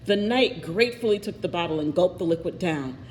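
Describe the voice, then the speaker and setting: A woman's voice: on the low side, animated, brisk, darkish tone. A woman reading a picture book aloud expressively, with little asides to her listeners. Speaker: storybook reader. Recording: echoey reverberant room, faint noise.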